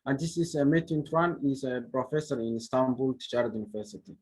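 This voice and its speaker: A man from Somalia presenting research at an online conference, in halting nonnative English with frequent restarts. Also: medium pitch, darkish tone, slight background noise. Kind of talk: academic presentation.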